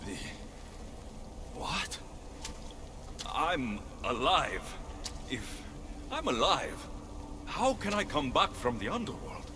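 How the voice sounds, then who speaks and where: An actor playing a wary ancient Greek warrior in a video game, blunt and full of sceptical questions. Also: male voice, medium pitch, very animated, slow, long pauses. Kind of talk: scripted game cutscene acting.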